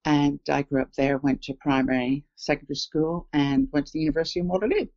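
Gravelly older woman, half English half Canadian. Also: low-pitched, fast, a little mellow, dry recording, lo-fi sound. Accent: mix of southern English and Canadian. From England, raised in Canada. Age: 60s. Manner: rambling, many fillers and self-corrections. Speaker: guest telling an encounter. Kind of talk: casual storytelling.

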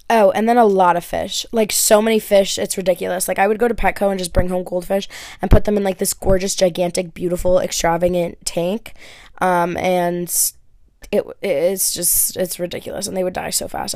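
Young woman podcast host, relaxed chatty delivery, dry close mic. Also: fairly low pitch, moderate pace, dry recording. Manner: chatty, lots of "like" and "um". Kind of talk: casual Q&A chat. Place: her bedroom.

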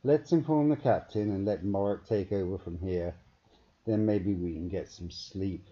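Man as a quietly assured, husky young alien scientist. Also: low-pitched, normal speed. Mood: assured, tired. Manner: quietly assured, matter-of-fact. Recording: dull, muffled narrow-band recording.

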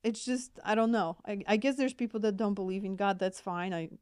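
Polish-accented woman, smooth and earnest. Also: mid-range voice, a little fast, darkish tone, dry recording, studio quality. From Poland. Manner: earnest, hesitant, repeats words. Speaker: podcast co-host, mental health nurse practitioner. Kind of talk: casual podcast chat.

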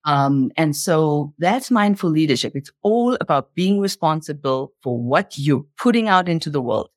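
A woman's voice: sits low, lively intonation, moderate pace, no room sound, studio quality. A woman speaking as an interview guest, explaining in an impassioned motivational style and repeating phrases for emphasis.

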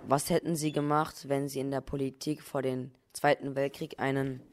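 A man's voice: mid-range voice, level tone, normal speed. A man from the audience asking a long, formal question with a few stumbles.

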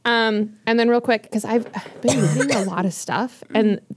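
A woman's voice: on the high side, normal speed, noisy background. A woman co-hosting a podcast, chatting casually and rambling with many fillers and restarts while recommending books. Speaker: podcast co-host. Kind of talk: casual chat about books.

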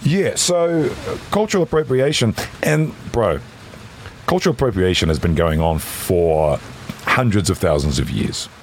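A middle-aged man with a deep voice, chatting casually on a podcast and swinging between high, animated bursts and very low tones. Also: moderate pace, a little hiss or hum. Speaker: podcast guest.

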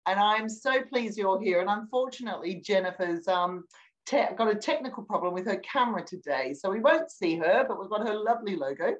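A woman's voice: medium pitch, lively intonation, brisk, muffled recording. A woman with an accent between English and Australian, hosting an interview podcast and giving an encouraging, attentive ear to her guest. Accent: mixed English and Australian. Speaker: podcast host. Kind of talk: hosted interview.

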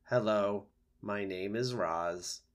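Guy reading as a calm polite lady robot, formal and literal, no emotion, storybook. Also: fairly low pitch, slow. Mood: calm, neutral.

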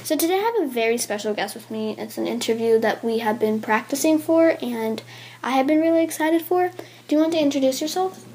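A woman's voice: high voice, brisk, darkish tone. A young woman hosting her own casual podcast, chatty and eager, interviewing her grandmother with many ums. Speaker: podcast host.

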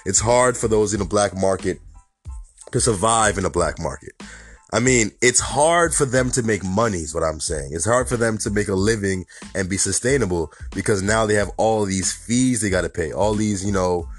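Hoarse New York man hosting a podcast. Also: lowish voice, normal speed, faint music behind. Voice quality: raspy. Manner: chatty, fillers like "you know" and "honestly". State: slight cold, hoarse. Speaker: podcast host. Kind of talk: casual solo podcast commentary.

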